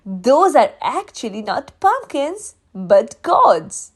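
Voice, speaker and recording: A woman's voice: on the high side, big pitch swings, slow pace, husky, full character voice. A woman voicing a friendly, cheerful grown-up female badger who guides children in a storybook. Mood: explaining.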